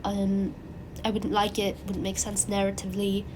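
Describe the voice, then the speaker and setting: A woman with a cold, her voice raspy and congested, chatting casually and rambling animatedly as the host of her own channel. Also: mid-range voice, moderate pace, background noise. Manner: rambling, animated, frequent fillers and restarts.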